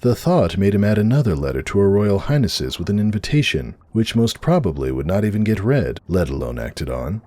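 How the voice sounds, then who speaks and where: A man narrates fiction in a very low register. His delivery moves up and down in a lively way, like a dramatic reading of a story. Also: fairly quick, few pauses, dry recording.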